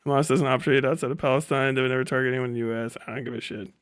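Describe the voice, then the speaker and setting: A man's voice: mid-range voice, steady tone, racing, smooth, no room sound, studio quality. A man doing casual livestream commentary, talking in an emphatic, profane, ranting way. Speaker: livestream host.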